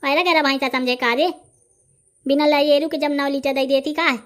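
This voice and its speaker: Performer playing a cartoon village man, high voice, Bundelkhandi accent, blustering, quarrelsome haggler. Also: fairly even intonation, normal speed, a bit bright. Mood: brash, impatient.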